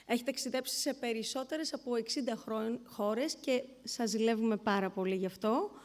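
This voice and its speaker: A woman's voice: a little high, fast. A woman presenter introducing the next speaker at a conference in a chatty way. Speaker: event presenter.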